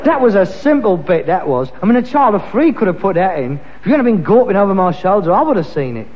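An actor playing a stubborn, defensive adult son in a 1960s radio sitcom. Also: male voice, sits high, sing-song, fast, a faint crowd. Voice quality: defensive, exasperated. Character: touchy adult son, proud of his handiwork and stubborn. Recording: dull old radio recording with long reverb and faint hiss.

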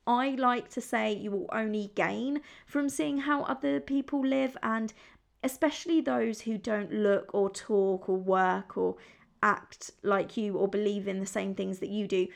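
A woman's voice: mid-range voice, vocal fry. A young woman from England hosting her own podcast, talking in a chatty, upbeat, confiding way. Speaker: podcast host. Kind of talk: solo podcast chat.

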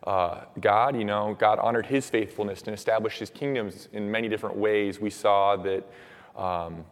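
A young man with a slightly low voice, hoarse and raspy after days of shouting, leads a sermon and prayer. He talks in an earnest, casual way, full of "uh" and "um". His words ring out with the long echo of a church hall. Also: steady tone, moderate pace. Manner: casual, filler-heavy, earnest. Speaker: preacher.

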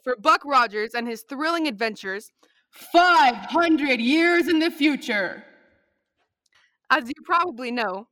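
A woman's voice: on the high side, pushed and bright, dry recording. A woman playing an enthusiastic old-time radio announcer, narrating in an inviting, theatrical style.

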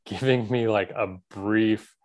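A man's voice: fairly low pitch, fairly even intonation, takes it slowly. A man speaking as the host of an interview podcast.

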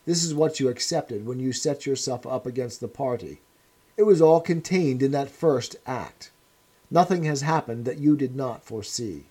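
A man voicing a cold, menacing male interrogator who speaks in a measured, commanding way. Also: medium pitch, warm tone, breathy edge, close to an everyday voice.

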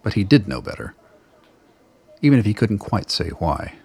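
Narrator for radio drama: man, very low, husky and gravelly, dramatic storytelling. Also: wildly swooping pitch, normal speed, darkish tone.

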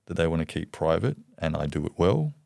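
Very deep, gravelly Australian man. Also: very animated, brisk, a little mellow, no room sound, clean studio sound. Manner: hesitant, repeats words, many ums. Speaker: podcast guest. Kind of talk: candid interview conversation.

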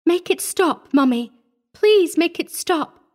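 A woman's voice: high voice, animated, normal speed, voice pushed up. A woman voicing a trusting, earnest little girl devoted to her mother in a storybook reading. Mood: pleading.

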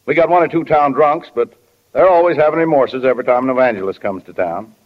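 An actor playing a wry, folksy small-town American man, slow to commit, in 1940s radio drama style. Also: mid-range voice, close to an everyday voice. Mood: wry, unhurried. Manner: folksy, drawling, deliberate. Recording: dull, echoing 1940s radio recording.

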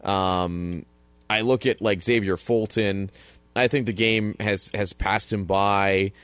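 A man co-hosting a casual sports podcast, chatty and easygoing, quick to laugh. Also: on the low side, steady tone, a bit bright, clean tone, dry recording. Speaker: podcast co-host.

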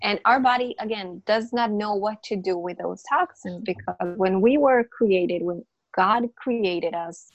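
A woman's voice: medium pitch, measured pace, dry recording, highs cut off. Emphatic non-native English-speaking woman coach. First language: not English. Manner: emphatic, repeats words, many restarts and fillers. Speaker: natural health coach, podcast guest. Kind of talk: casual interview explanation.

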